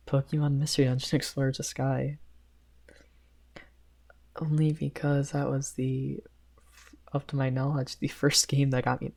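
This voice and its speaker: A woman's voice: a bass voice, creaky, warm tone, heavily acted. A woman playing a shy young male best friend in an ASMR roleplay, rambling hesitantly with stammers and nervous giggles.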